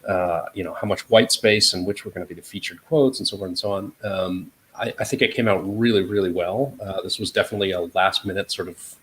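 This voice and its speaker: A man's voice: deep voice, steady tone, fast, a bit bright, slight background noise. A man with an American accent talking informally as an interview guest, hedging often as he explains his work as an editor. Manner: informal, hedging with "sort of" and "you know". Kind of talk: casual interview talk.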